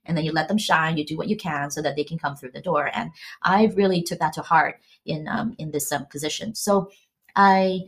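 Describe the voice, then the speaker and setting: A woman's voice: low-pitched, fairly quick. A woman law school dean from the Philippines answering interview questions humbly, laughing easily, with fillers and restarts.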